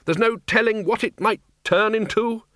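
Man putting on a bellowing bossy old wizard chief, lively pitch, dry sound. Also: slow pace, dry recording, exaggerated voice. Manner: shouting, bossy. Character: loud blustering head wizard, older man.

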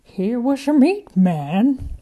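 Woman voicing sweet, lost elderly grandma; slow, dreamy delivery, faint foreign lilt, lifted pitch. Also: very animated, mellow, exaggerated voice. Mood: reminiscing. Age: older. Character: frail, wandering old grandmother, gentle and confused. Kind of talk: storybook character voice.

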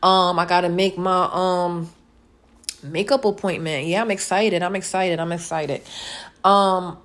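A woman's voice: on the low side, fairly even intonation, a little slow, fairly bright tone. Chatty woman turning forty. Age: late 30s. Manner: chatty, repeats words, frequent ums, playful asides. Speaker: podcast host. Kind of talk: casual solo chat.

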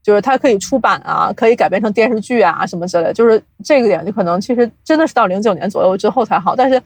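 A woman speaking casually as an interview guest, explaining things in an animated, chuckle-prone way like an industry insider. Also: medium pitch, racing, dry recording. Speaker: guest, audio drama producer and company CEO.

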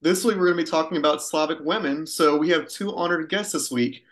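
A man co-hosting a podcast, explaining points in a quick, upbeat, casual way. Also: a little high, fairly bright tone. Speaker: podcast co-host.

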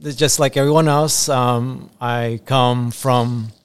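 An earnest man in his thirties, originally from Ecuador, answers interview questions on a school podcast. He speaks at an ordinary pitch for a man, repeating phrases and filling pauses with ums. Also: fairly even intonation, smooth, no room sound. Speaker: assistant principal.

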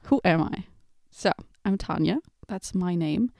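A woman's voice: fairly low pitch, a little slow, creaky, a little mellow, no echo, clean studio sound. A woman in her twenties from Portugal, hosting a casual solo podcast, rambling and laughing at her own slips. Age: 20s. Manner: chatty, self-mocking, laughs at own slips. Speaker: podcast host. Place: home room with bookshelf.

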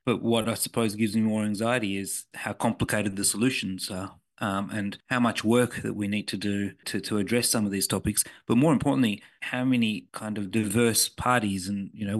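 Low-voiced man co-hosting a podcast. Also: no echo. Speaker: podcast co-host. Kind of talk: conversational discussion.

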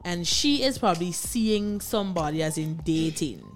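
A woman co-hosting a radio talk show, posing drawn-out, emphatic questions in a teasing way. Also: on the low side, lively intonation, soft background music.

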